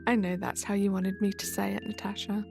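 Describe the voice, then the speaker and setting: A woman's voice: mid-range voice, level tone, fairly quick, creaky. A young woman live-streaming a game, chatting casually and answering viewers in chat as she plays. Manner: chatty, reads and answers chat while playing. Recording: faint background music.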